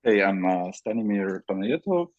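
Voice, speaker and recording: Male academic interview guest, fairly low voice, dull lo-fi audio. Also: big pitch swings, normal speed, no echo. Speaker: guest, philosophy lecturer and co-editor. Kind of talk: interview self-introduction. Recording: muffled, narrow-band dry line.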